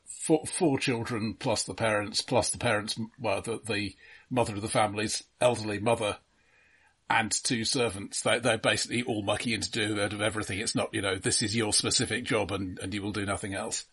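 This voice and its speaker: Low, husky man casually discussing films. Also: steady tone, a little fast, fairly bright tone. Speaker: film podcast co-host. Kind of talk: casual film review discussion.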